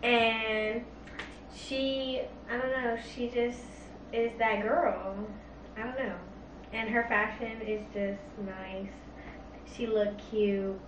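A young woman from Florida in a casual family Q&A, sassy and playful, with quick slang. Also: on the high side, steady tone. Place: indoor bedroom, on a couch. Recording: echoey room, mic at a distance, faint hiss.

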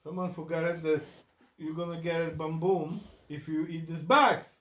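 Podcast co-host, man, slightly high voice, loose rambling delivery, roomy home recording. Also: little pitch movement, normal speed, highs cut off. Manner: broken English, laughing, rambling storytelling. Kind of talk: casual chat. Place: home room with a dog. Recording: echoey room.

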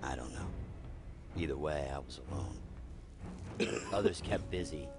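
A man's voice: extremely low pitch, unhurried, music in the background. A man voicing a brooding young male superhero, narrating a game cutscene in a grim, weary way.

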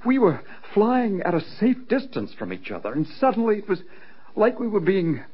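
An earnest, anxious military pilot in an old radio play. His voice is fairly high and husky, with swinging intonation and an insistent edge. The sound is lo-fi and dull. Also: sing-song, normal speed, heavily acted. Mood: troubled, earnest. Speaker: actor. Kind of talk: mid-century radio drama acting. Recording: dull lo-fi vintage radio recording.

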